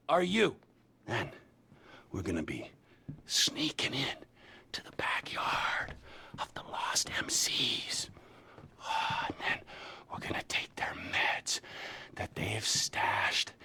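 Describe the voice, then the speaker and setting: A man playing a manic, menacing middle-aged drug-crime boss in a video game, raspy and theatrical. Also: high voice, a little hiss or hum, exaggerated voice. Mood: mock-serious, then conspiratorial whisper. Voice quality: raspy, gravelly. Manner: theatrical, swinging from whispers to shouting. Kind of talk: video game cutscene acting.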